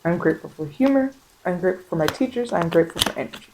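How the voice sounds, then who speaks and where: A woman's voice: lowish voice, lively intonation, racing, a little mellow, a little hiss or hum, low-quality recording. A woman giving an informal, encouraging tarot reading in ASMR style, speaking colloquially and repeating phrases for emphasis. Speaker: tarot card reader and host. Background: bird and rain sounds.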